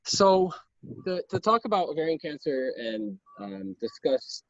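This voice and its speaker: A man's voice: fairly high pitch, big pitch swings, a little slow, no room sound. A man, a doctor giving an informal lecture over a video call, earnest and full of ums and restarts. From Lebanon. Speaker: gynecologic oncologist giving a lecture. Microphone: computer mic on a video call. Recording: dull, dry video call audio.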